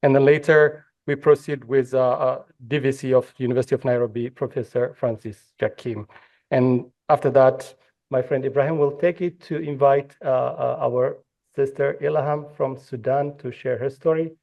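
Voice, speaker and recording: A man's voice: medium pitch, fairly even intonation, normal speed, gravelly, darkish tone. A man from Ethiopia moderating a conference panel, speaking with frequent fillers and restarts. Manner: hesitant, many fillers and restarts. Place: conference hall.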